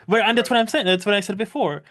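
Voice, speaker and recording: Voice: medium pitch, fast, fairly bright tone. A podcast host, not originally from Scotland, chatting casually and often laughing, with many "like"s. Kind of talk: casual conversation.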